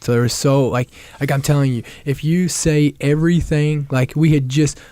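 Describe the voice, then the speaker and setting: A man with a gravelly voice, recounting combat in an interview as a veteran, emphatic and repeating himself. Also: a little high, fairly even intonation, moderate pace, mellow, no room sound. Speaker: war veteran being interviewed.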